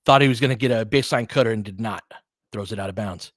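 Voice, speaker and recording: A man's voice: lowish voice, fast, dry recording, studio quality. A man doing basketball play-by-play commentary in clipped phrases, with informal asides and casual analysis.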